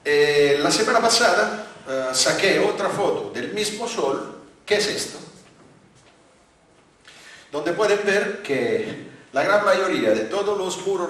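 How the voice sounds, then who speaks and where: A man's voice: on the high side, a bit bright, recorded from a distance. Italian-accented man lecturing in an echoey hall. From Italy. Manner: halting, with many fillers and restarts. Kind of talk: public lecture with slides. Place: large conference hall.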